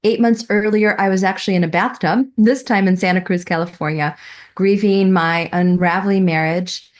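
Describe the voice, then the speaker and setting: A middle-aged woman giving a prepared personal presentation, telling her story in a reverent, deliberate way. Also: fairly low pitch, fairly even intonation. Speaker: student presenting a thesis.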